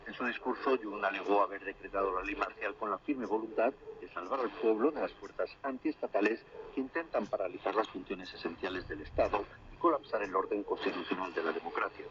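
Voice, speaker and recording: A man presenting a scripted radio news bulletin in a formal, measured announcer's delivery, heard over shortwave radio. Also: on the low side. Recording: muffled shortwave radio reception, distant, crackly.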